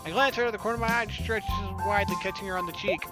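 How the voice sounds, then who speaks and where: A man's voice: high voice, very fast. A man hosting a casual let's-play, reading game text aloud and adding joking, sarcastic asides. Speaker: gaming video host. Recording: game music behind the voice.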